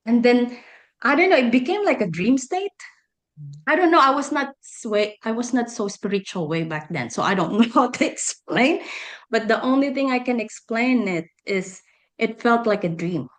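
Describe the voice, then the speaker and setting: A woman's voice: a little high, animated, normal speed. A middle-aged woman with a Filipino accent, speaking as an interview guest and telling her personal story in a conversational way. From Philippines. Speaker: podcast guest, energy healer and life coach.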